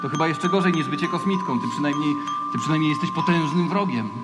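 A man's voice: on the high side, fast talker, natural voice. A man acting a meek, naive young space cadet in an audio drama, stammering and earnest. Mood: awkward, friendly. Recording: loud music under the voice.

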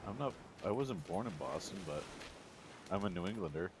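A very deep-voiced man from New Hampshire chats on voice chat during a game. He sounds easygoing and laughs often, and clear background noise runs under his voice. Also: lively intonation, normal speed. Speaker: teammate. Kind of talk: casual gaming chat.

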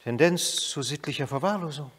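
A man's voice: fairly high pitch, a little fast, noisy background, natural voice. A man playing a cold clinical evaluator. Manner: detached, bureaucratic, reading a report. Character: cold clinical Nazi-era male evaluator. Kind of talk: radio drama acting.

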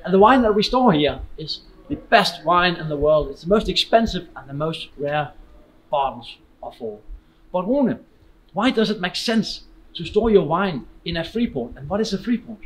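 A man's voice: sits high, expressive, normal speed, a little hiss or hum, rough recording. A man presents in English as a guide would. He explains things directly to the viewer in a scripted promotional style. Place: large indoor warehouse, walking.

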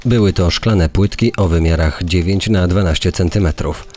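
A man with a very deep voice reads a quoted recollection. The words are scripted and delivered as testimony within a documentary narration. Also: moderate pace, darkish tone, a little hiss or hum.

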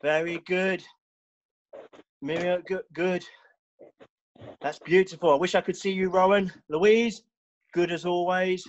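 A man's voice: high-pitched, steady tone, a little slow, long pauses, lo-fi sound, slight background noise. Man coaching a karate class. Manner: barking encouragement and commands while training. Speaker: karate instructor. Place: small brick-walled gym.

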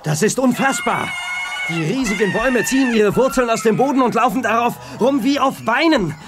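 An actor playing a brave, decisive young space adventurer in a sci-fi radio play, urgent and commanding. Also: male voice, very high voice, wildly swooping pitch, moderate pace, music in the background, heavily acted. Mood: urgent, alarmed. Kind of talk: German 1980s sci-fi radio play acting.

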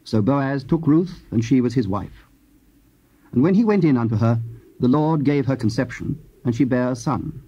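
A man giving measured, solemn scripted scripture narration. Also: medium pitch, expressive, warm tone, slightly rough. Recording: dull old cassette tape.

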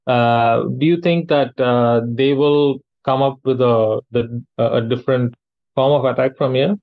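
A man hosts an interview podcast. His pitch is medium and his questioning is hesitant and tentative. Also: fairly even intonation, unhurried, narrow, tinny audio. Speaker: podcast host.

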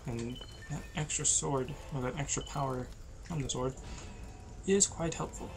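A tired young man, losing his voice, giving low-energy, rambling commentary over a video game. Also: a little slow, gravelly, noisy background. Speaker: gameplay commentator. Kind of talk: casual let's-play commentary.

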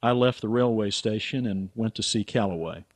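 An actor playing an earnest, stubborn writer in a radio drama, plain-spoken and terse. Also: male voice, lowish voice, normal speed, over loud music, natural voice. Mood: grave narration. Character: earnest, stubborn American writer, narrating his own story. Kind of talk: radio drama acting and first-person narration.

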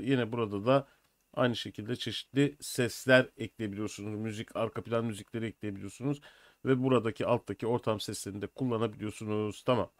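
An older man presenting a software tutorial in an informal, step-by-step way. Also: mid-range voice, dry recording, studio quality. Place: small indoor room at a desk. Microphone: USB computer microphone.

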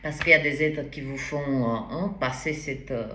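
A woman in her forties with a foreign accent, reading tarot cards to the camera in a halting, casual way with many restarts. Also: extremely low pitch. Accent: foreign accent in French. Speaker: tarot card reader. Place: bright indoor room at a table. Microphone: camera in front at table height. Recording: roomy echo, faint background hiss.

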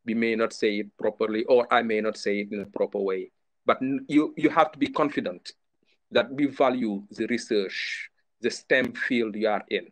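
Encouraging low-voiced man on a video call. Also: moderate pace. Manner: encouraging, pleading, with many fillers. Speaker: researcher and educator on a panel. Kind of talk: panel discussion answer.

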